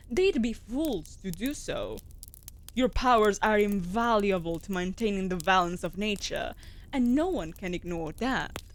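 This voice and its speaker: A woman playing a protective, devoted wolf familiar. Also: mid-range voice. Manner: formal, reassuring, firm. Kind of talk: scripted fantasy audio roleplay.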